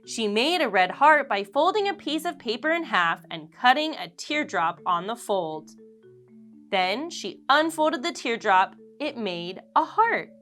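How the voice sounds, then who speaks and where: Lively, expressive woman reading to kids. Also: fairly high pitch, measured pace, soft background music. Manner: animated, expressive storytelling. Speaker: children's teacher and storyteller. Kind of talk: reading a picture book aloud to children.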